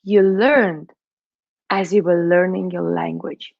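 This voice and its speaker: A woman's voice: lowish voice, steady tone, slow, gravelly, no room sound, dull audio. A woman with a Russian accent, a money mindset coach giving a motivational talk, putting questions to the listener and checking in with "right?" and "okay?".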